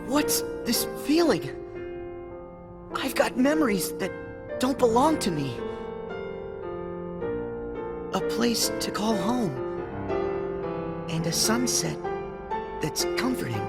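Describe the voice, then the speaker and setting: A kindhearted teenage boy hero from a video game. His voice is high and swoops with feeling. He speaks sincerely and with resolve, at an unhurried pace. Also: sing-song, full character voice. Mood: wistful, tender. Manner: sincere, resolute, youthful delivery. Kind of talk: English video game cutscene acting. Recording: music behind the voice.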